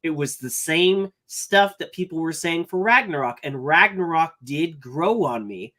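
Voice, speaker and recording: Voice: medium pitch, expressive, measured pace, sharp tone. A podcast co-host with a mid-range voice talks through opinions in casual chat, earnestly thinking aloud and stacking up points one after another. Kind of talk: casual movie review chat.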